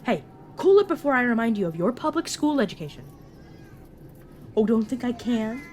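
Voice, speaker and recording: A woman's voice: on the high side, very animated, normal speed, darkish tone, slight background noise. A woman playing a sassy, protective escort to a new vampire in an audio roleplay, teasing and caring in manner. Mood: teasing, exasperated. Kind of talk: narrative audio roleplay acting.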